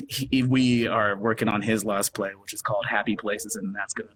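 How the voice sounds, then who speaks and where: A man's voice: lowish voice, little pitch movement, normal speed, fairly bright tone, rough recording. Casual chatty man, some background noise. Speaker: radio play producer and interview guest. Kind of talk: casual live online chat. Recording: live stream with background noise.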